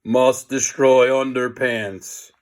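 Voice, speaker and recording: Man doing slow, groaning zombie nerd. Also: mid-range voice, little pitch movement, close to an everyday voice. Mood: menacing, mindless. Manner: droning, drawn-out groaning. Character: groaning, mindless evil zombie nerd schoolchildren.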